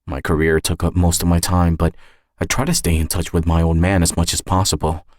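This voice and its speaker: A man narrating a scripted first-person story in a measured, reflective manner. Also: deep voice, flat delivery, darkish tone, dry recording, studio quality. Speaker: narrator and author.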